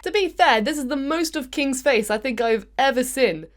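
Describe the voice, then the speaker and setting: A woman's voice: high-pitched, expressive, normal speed, slight background noise. A woman with a British accent giving a playful, sarcastic video game review, speaking directly to viewers as host. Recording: background music under voice. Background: music.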